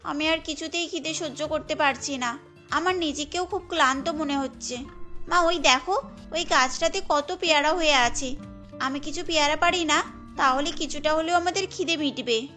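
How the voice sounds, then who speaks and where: A woman's voice: sits high, normal speed, pushed and bright. A woman voicing a hungry young village daughter in a cartoon story, earnest and pleading in a girlish manner. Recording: music bed under the voice.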